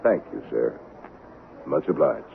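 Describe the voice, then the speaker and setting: An actor playing a grim, mysterious stranger bent on revenge, terse and cold, in a 1970s western radio drama. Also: male voice, rumbling low, animated, a little slow, vocal fry, slight background noise, exaggerated voice. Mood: curt, polite. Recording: lo-fi vintage radio, narrow band, music.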